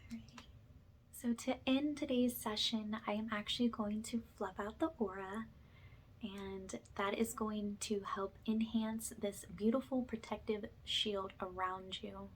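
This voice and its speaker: A woman speaks softly and very slowly, slipping between hushed talk and whispers. She guides a calming ASMR energy cleanse with gentle reassurance, over audible background noise. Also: medium pitch. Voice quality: soft, breathy, often dropping to a whisper. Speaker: host of spiritual ASMR healing sessions.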